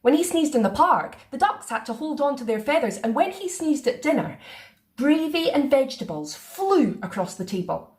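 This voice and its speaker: A woman reading a children's picture book aloud in a playful, expressive way. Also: sits high, normal speed. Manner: expressive, sing-song. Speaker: storyteller.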